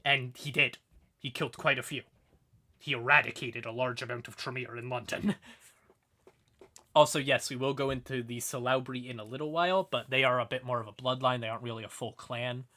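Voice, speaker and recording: Male livestream host, conversational and sweary lore explainer, mid-pitched voice with bright, punchy tone. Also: steady tone, a little fast.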